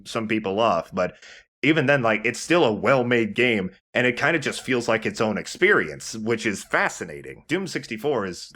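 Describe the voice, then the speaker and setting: A man with a fairly low voice talks casually as a let's play host. His delivery is conversational and halting, as he works out his thoughts about games while speaking. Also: fairly bright tone. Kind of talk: casual gaming commentary.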